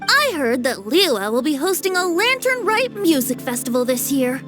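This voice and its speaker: A woman's voice: high-pitched, big pitch swings, moderate pace, over music. A woman playing a playful, whimsical young male bard in a video game dub, with a breezy, theatrical, teasing delivery.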